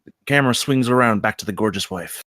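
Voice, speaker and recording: A man reading screenplay stage directions aloud as narrator, with deliberate dramatic emphasis. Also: lowish voice, a bit bright, no echo, studio quality.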